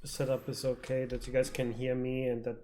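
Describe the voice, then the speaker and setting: A man's voice: medium pitch, completely flat delivery, brisk, dark tone. A man giving casual live game stream commentary, muttering to himself between remarks. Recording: faint noise, keyboard clatter. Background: keyboard typing and clicks.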